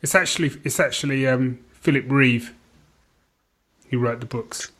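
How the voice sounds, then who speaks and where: A man's voice: mid-range voice, normal speed, fairly bright tone. A man co-hosting a podcast with casual banter, often hesitating as he thinks aloud. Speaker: podcast co-host.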